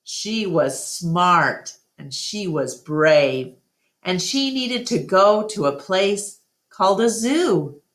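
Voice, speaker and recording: A woman voicing an earnest, gentle male gorilla who speaks hesitantly as a protector in a children's storybook. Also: lowish voice, expressive, takes it slowly. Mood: tender, hopeful. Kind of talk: children's storybook read-aloud.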